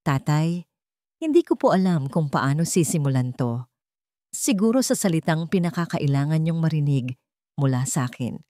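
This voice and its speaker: A woman's voice: deep voice, very animated, normal speed, dark tone, dry recording, clean studio sound. A woman voicing a repentant grown son in an audio drama, pleading humbly and respectfully in his letter. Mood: remorseful.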